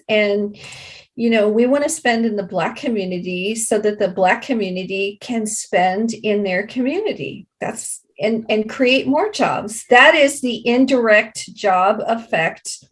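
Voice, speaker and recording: Female, conversational, agreeable explainer with a moderately high voice. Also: unhurried. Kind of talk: casual conversation.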